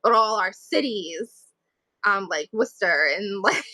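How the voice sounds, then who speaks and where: A woman's voice: medium pitch, expressive, measured pace, bright, forceful tone, no room sound. A woman from New England, an author chatting casually as a guest and laughing often as she talks. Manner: giggly, laughs often while talking. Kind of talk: casual chat.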